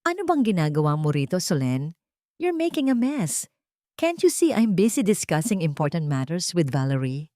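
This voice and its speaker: A woman's voice: lowish voice, sing-song, moderate pace, warm tone, no echo, clean studio sound. A woman voicing a cold, contemptuous middle-aged husband in an audio drama, curt and dismissive. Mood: cold, impatient.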